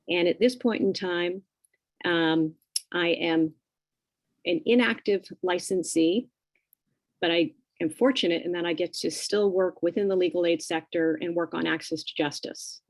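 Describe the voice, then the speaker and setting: A woman giving a prepared presentation at a committee meeting, speaking in a measured way with only a few "um"s. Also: lowish voice. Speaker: presenter at a bar subcommittee meeting.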